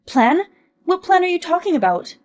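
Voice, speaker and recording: Whiny entitled mom, a woman puts her on: shrill, pleading, loud. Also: big pitch swings, moderate pace.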